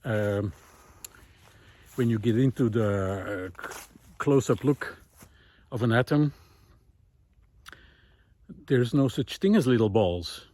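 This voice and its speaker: A man giving a casual, unscripted monologue outdoors on a woodland trail, musing and halting as he thinks aloud. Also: mid-range voice, a little mellow, no echo. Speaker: host of a recorded monologue series.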